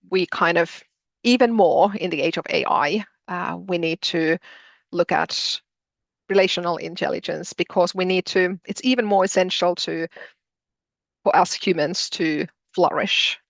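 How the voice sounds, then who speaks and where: A woman with a Finnish accent giving a webinar presentation, hesitant with many pauses and restarts. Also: fairly low pitch, gravelly, a bit bright, no echo. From Finland.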